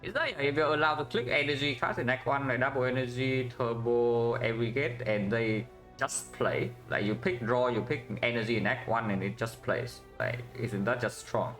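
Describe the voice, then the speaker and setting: Vietnamese-accented male streamer, mid pitch, casual running commentary, faint music bed. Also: normal speed, hardly any pauses, a bit bright. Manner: thinks aloud, tag questions "yeah?", chats with viewers. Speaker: game streamer.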